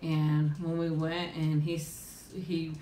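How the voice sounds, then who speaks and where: A woman's voice: little pitch movement, slow pace, mellow. Chatty, laughing woman in an echoey room. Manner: chatty, rambling, laughs often, frequent ums. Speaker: host of a live chat and eating stream. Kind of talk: casual live chat with viewers. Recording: echoey room with faint rumble.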